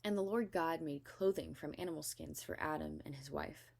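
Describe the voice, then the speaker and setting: A woman's voice: deep voice, moderate pace, gravelly, a little mellow, breathy edge. A woman reading Bible narration aloud from a script as a storyteller. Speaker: scripture reader.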